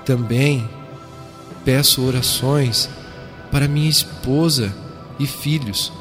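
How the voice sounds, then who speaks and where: A man reading a letter aloud on a radio program, slow, solemn and heartfelt. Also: mid-range voice, fairly bright tone. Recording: long reverb with faint music.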